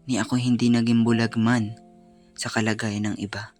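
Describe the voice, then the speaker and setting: Man acting young male student poet, earnest, sorrowful, low-key flat intonation, solemn monologue, faint music. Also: normal speed, lightly acted. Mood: earnest, resolute. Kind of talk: scripted dramatic monologue.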